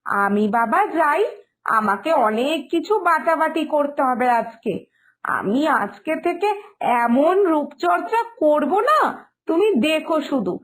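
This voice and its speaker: A woman voicing a vain, stubborn and fretful younger daughter-in-law in an animated moral story. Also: sits high. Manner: whiny, stubborn, dramatic.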